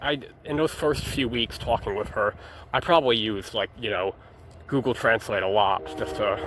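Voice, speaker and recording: Young guy rambling in a vlog, lots of stops and restarts, some faint noise behind. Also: fairly low pitch. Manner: rambling, hesitant, many restarts and fillers. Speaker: vlogger.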